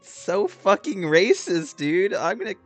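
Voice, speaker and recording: A man's voice: sits high, big pitch swings, unhurried. Blunt, slangy man reacting to a game. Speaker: gaming streamer. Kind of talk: casual gameplay reaction commentary. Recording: faint game music behind the voice.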